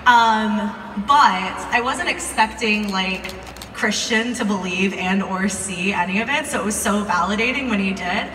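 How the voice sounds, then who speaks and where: Echoey, bright-voiced young American woman storytelling. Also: mid-range voice, unhurried. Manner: chatty, informal, peppered with "like". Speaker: video creator telling a story to a live audience. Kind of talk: casual live storytelling. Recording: echoey hall, distant mic, some noise.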